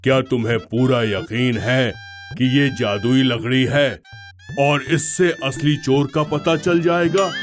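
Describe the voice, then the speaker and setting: A man's voice: mid-range voice, steady tone, takes it slowly, close to an everyday voice. Man acting a stately cartoon emperor, music behind. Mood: commanding. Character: regal emperor, commanding and curious adult man.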